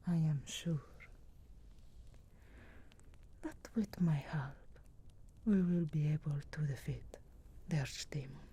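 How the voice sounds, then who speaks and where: A woman playing a sharp-tongued, teasing young witch in a soft, whispered ASMR roleplay, speaking intimately and in measured phrases close to the microphone. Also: deep voice, husky, full character voice. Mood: earnest, reassuring. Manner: slow, intimate, measured delivery with long pauses.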